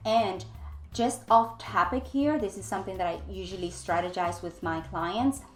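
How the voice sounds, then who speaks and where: A woman's voice: mid-range voice, normal speed, reverberant, faint music behind. A woman giving an instructional coaching talk, speaking emphatically and repeating key phrases for stress. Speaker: dental practice coach and trainer.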